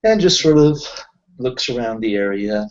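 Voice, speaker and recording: A man's voice: mid-range voice, expressive, moderate pace. A man in a tabletop roleplaying game, casually improvising narration for his character. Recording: muffled lo-fi voice recording.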